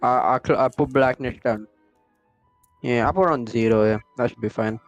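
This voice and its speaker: A man's voice: medium pitch, moderate pace, dry recording, dull audio. Joking male game streamer, faint music behind. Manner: joking, exclamatory. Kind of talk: casual live gameplay banter.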